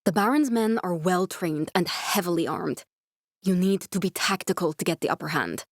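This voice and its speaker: A woman's voice: fairly low pitch, animated, moderate pace, dry recording, clean studio sound. An earnest, guarded woman scientist. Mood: focused, briefing. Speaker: video game voice actress. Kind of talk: video game dialogue.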